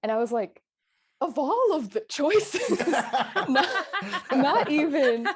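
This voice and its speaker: A woman's voice: sits high, very animated, slow pace. A young woman talking in a casual panel discussion, telling personal stories animatedly and laughing as she recounts them. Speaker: panel guest.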